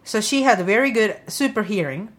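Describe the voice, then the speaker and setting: A woman co-hosting a casual podcast chat, speaking halting non-native English with many fillers and frequent giggles. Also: mid-range voice, lively intonation, fairly bright tone. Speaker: podcast co-host.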